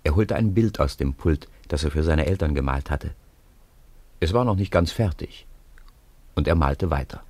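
A man narrating a 1950s radio play in a calm, measured storytelling manner. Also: extremely low pitch, husky, dry recording.

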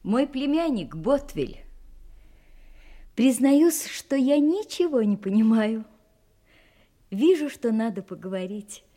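An actress playing a refined, self-possessed young gentlewoman, candid and faintly mysterious, in an audio drama, speaking elegantly with dramatic pauses. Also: fairly high pitch, sing-song, exaggerated voice. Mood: cautious, curious. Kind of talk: Russian audio drama acting.

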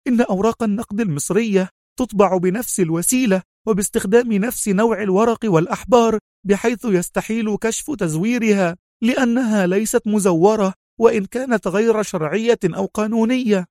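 Casting: man to play fiery young female agent in an audio thriller, high-pitched, sharp, urgent, dry sound. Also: brisk, no echo. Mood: agitated, urgent. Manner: agitated, sharp, insistent.

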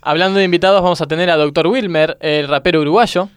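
Higher-voiced Argentine man on comedy radio. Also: fast, clear, resonant tone, no room sound, clean studio sound. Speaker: radio comedy show host. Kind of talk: casual radio banter and segment announcing.

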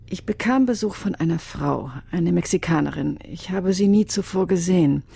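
An actress playing a solemn, soulful female psychic medium in a radio crime drama, speaking in a measured, hesitant, grave way. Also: fairly low pitch, gravelly, mellow, breathy edge, no room sound. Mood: solemn, mysterious.

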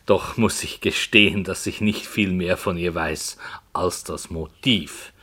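A man playing a brooding writer in a radio play, solemnly and hesitantly telling a dark tale with earnest, dramatic emphasis. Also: low-pitched, husky, full character voice. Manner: slow, hesitant, earnest, dramatic swells and hushes. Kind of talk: 1960 German radio play, literary storytelling.

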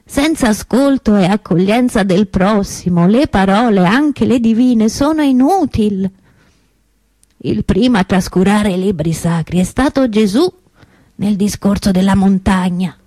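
A woman acting a solemn, mellow-voiced preacher. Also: medium pitch, no echo, natural voice. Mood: solemn, reflective. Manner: solemn, deliberate, rhetorical pauses and repetitions. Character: outspoken, indignant preacher at Mass challenging the Church. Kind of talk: theatrical radio monologue in the form of a homily.

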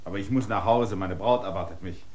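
A man's voice: deep voice, brisk, darkish tone, lightly acted. An actor playing a restless, defiant male land surveyor in a radio drama, brooding and stubborn in tone. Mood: wary.

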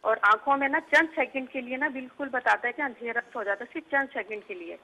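A worried woman calls a health show about her son and rambles, circling back over the same points. Her voice is bright and a little high. The phone line sounds dull. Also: fast. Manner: worried, rambling, repeats herself. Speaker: caller, worried mother.

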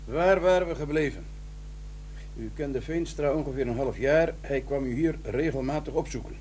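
An actor playing a prim police detective who questions with hesitant fillers in a radio drama. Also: male voice, mid-range voice, normal speed, darkish tone, slight background noise, natural voice. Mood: probing.

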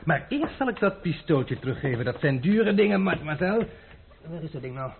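An actor playing a playful, courtly young gentleman who teases and mocks in a radio play, heard on a tinny old recording. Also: on the high side, big pitch swings, brisk, a little hiss or hum. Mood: amused. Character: teasing gallant young gentleman adventurer. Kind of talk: radio drama acting.

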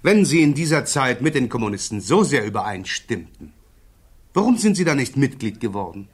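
A prosecuting counsel in a 1960s radio play. His mid-pitched voice presses on with formal, precise questioning. He stays insistent and controlled. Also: sing-song, normal speed, slightly rough. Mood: probing, pressing. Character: probing, insistent male prosecuting counsel at a hearing. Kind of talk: 1960s German radio drama acting.

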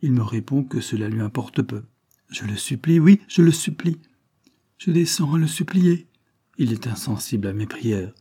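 Guy playing a worked-up guy confessing to his lover, dark husky voice, very dramatic, audiobook style. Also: medium pitch, animated, normal speed. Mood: desperate, exasperated. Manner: exalted, impassioned, dramatic. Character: agitated man feverishly confessing a crime to his lover. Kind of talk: dramatic audiobook character reading.

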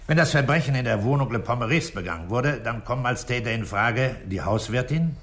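An actor playing a methodical, dryly impatient police commissioner in a 1960s German radio crime drama, speaking in a measured, authoritative way. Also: male voice, medium pitch, lively intonation, a bit bright, lightly acted. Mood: methodical, deliberate. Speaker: police commissioner leading an investigation.

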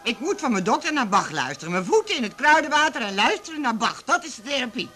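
Cranky eccentric dutch lady, sharp voice that swoops around, whiny and stubborn, music behind, radio play. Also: sits high, very animated, fast. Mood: insistent. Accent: Netherlands Dutch. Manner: plaintive, insistent. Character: querulous, eccentric woman patient, complaining and stubborn. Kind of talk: 1980s radio drama acting. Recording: music playing behind the dialogue.

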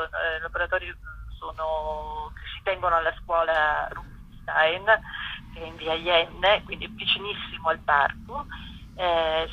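A woman speaking as an interview guest, explaining an event programme informally and hesitantly, searching for words as she goes. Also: low-pitched. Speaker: festival curator, interview guest. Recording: dull lo-fi telephone-like line.

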